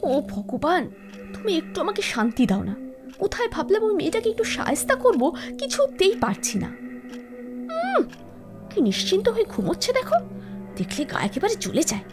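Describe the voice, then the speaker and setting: High-pitched spiteful nagging young wife. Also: female voice, huge pitch swings, moderate pace, over music, exaggerated voice. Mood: resentful. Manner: shrill, nagging, dramatic complaining. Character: spiteful scheming young village wife and sister-in-law. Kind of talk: animated cartoon moral-story acting.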